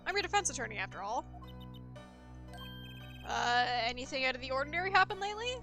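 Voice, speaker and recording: A woman's voice: sits high, lively intonation, fast, pushed and bright, faint music behind, heavily acted. A woman voicing an earnest young male defense lawyer, exasperated and a little nervous.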